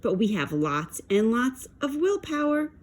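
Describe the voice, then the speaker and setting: A woman's voice: fairly high pitch, very animated, a little slow, pitched above the performer's own voice. A woman voicing a mild, friendly male frog in a storybook, earnest and trying to be brave. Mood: reassuring.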